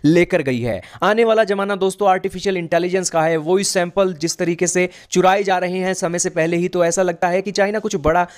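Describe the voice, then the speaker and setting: A man's voice: high-pitched, fairly quick, hardly any pauses. A man with an Indian accent presenting an online news explainer, emphatic, often repeating points and posing questions to viewers.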